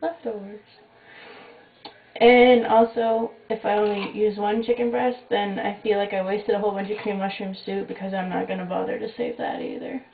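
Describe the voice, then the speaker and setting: A woman's voice: mid-range voice, level tone, normal speed, creaky. Young woman hosting a kitchen cooking show. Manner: wry, self-mocking, offhand asides. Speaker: home cooking show host. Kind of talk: casual jokey cooking demo. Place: small home kitchen at night. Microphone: fixed camera mic across the kitchen. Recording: echoey, tinny, noisy home camcorder audio.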